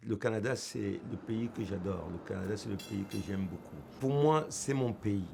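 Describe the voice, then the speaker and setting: A man's voice: fairly low pitch, normal speed, vocal fry, background noise. A middle-aged man from Cameroon, a musician speaking, earnest and heartfelt, with small restarts. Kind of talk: documentary interview.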